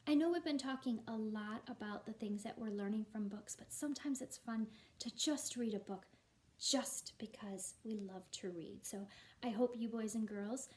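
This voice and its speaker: A woman's voice: on the high side, normal speed, darkish tone. A woman, a primary school teacher, chatting to young pupils in an encouraging way. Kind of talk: read-aloud and chat to young pupils. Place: at home.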